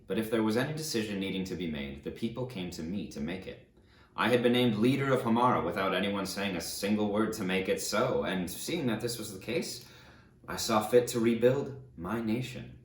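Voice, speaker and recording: A man's voice: on the low side, close to an everyday voice. A man voicing a proud, defiant conqueror in dramatic first-person audiobook narration, declamatory and self-justifying. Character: proud, defiant conqueror ruler recounting his rise. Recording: roomy, echoey sound.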